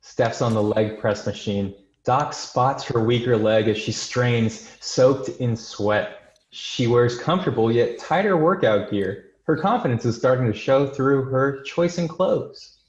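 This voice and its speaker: A man's voice: mid-range voice, lively intonation, measured pace. Male screenplay narrator: scripted delivery, mid-range voice, roomy and slightly dull recording. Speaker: narrator of stage directions.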